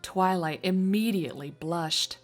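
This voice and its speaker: A woman reading scripted story narration in an expressive, wry storytelling manner. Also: fairly low pitch, unhurried, faint music behind. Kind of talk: scripted fan fiction narration.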